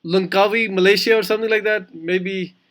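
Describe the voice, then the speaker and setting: A man's voice: sits high, fairly even intonation, fairly quick, fairly bright tone. A man taking part in an online talk, answering casually and hesitantly as he guesses. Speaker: audience participant answering questions.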